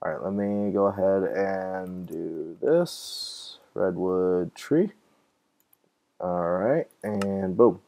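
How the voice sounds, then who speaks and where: A young man hosting casual let's-play commentary, chatty and easily excited, thinking aloud with fillers, his voice a little off from being slightly sick. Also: low-pitched, extremely slow, vocal fry. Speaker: gaming channel host.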